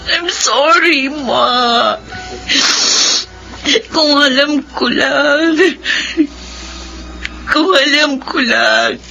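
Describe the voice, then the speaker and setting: Brief: a remorseful daughter in radio melodrama, very high-pitched, slow and tearful, faint music underneath. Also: female voice, vocal fry, sharp tone, heavily acted, low-quality recording. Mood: anguished, remorseful. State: crying, wailing. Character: grieving, remorseful rebellious daughter pleading to her dead mother. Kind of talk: radio drama acting, melodramatic. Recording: music bed behind voice.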